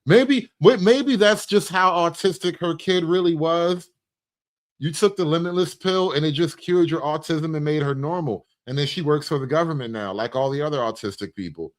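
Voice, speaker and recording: Animated man riffing in a higher voice. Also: normal speed. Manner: animated, profane, mock-enthusiastic, repeats phrases for emphasis. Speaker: livestream host and commentator. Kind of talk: casual satirical riffing commentary.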